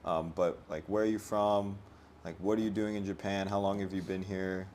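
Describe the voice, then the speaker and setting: Deep-voiced male podcast host. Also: moderate pace, slight background noise. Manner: relaxed, prompts guest with short replies. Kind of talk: casual podcast chat.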